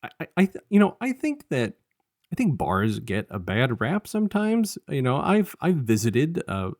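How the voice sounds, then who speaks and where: A man co-hosting a casual review podcast, talking in a halting, rambling way and often restarting his sentences. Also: mid-range voice, wildly swooping pitch, darkish tone, no echo, clean studio sound. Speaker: podcast co-host.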